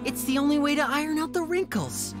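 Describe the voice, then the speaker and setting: A woman's voice: high voice, natural voice. A woman voicing a young male stage magician in a game, a suave showman with a teasing, theatrical delivery. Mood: playful. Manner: showy, teasing, deliberate. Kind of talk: scripted game character monologue. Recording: loud music behind the voice. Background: loud music.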